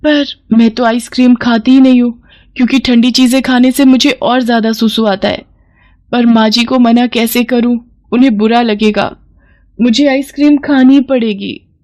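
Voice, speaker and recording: Woman playing a high-voiced, meek young daughter-in-law. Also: steady tone, moderate pace, darkish tone, lightly acted. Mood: anxious. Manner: timid, deferential, earnest. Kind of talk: animated moral story voice acting.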